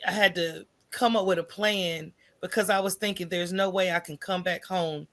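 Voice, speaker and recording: A woman's voice: fairly low pitch, moderate pace. An American woman talking candidly as a podcast guest, acting out remembered dialogue and checking in with her listener as she goes. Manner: animated, acts out remembered dialogue, often asks "right?". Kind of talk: candid conversational storytelling.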